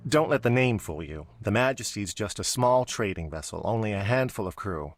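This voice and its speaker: A man's voice: fairly low pitch, lively intonation, normal speed, faint music behind. A man playing a worried, plain-spoken civilian in a video game, talking earnestly in an everyday manner. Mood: worried, hopeful. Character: worried working man seeking his missing brother.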